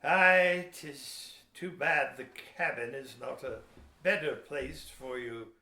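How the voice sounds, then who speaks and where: A man acting a gruff, crafty sea captain in a historical audio play, goading people in archaic, emphatic seafaring speech. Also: medium pitch, slow, bright, forceful tone. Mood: feigned sympathy. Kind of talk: amateur audio theater historical drama acting. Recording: echoey room.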